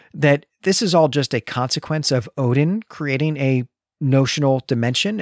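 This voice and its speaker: A man's voice: medium pitch, no echo, studio quality. Man recapping a comic story on a podcast. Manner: explanatory, recapping a story with fillers. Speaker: podcast co-host. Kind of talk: casual comics discussion.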